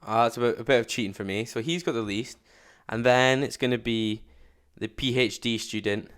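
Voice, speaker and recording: A man giving casual, slangy reaction commentary as a streamer, with blunt loud outbursts. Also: medium pitch, normal speed, clear, resonant tone.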